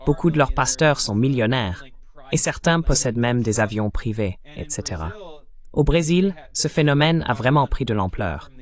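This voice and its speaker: A man delivering a voice-over translation of political commentary in a measured, explanatory style. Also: mid-range voice, expressive.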